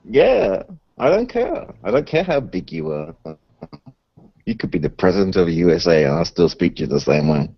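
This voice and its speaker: A man chatting on a video call, teasing and quick with his remarks. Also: low-pitched, very animated, highs cut off. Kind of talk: casual chat on internet radio.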